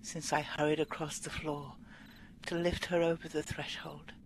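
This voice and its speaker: A woman reading poetry aloud in an expressive, measured and dramatic manner. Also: low voice, a little hiss or hum, poor audio. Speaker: poetry reader.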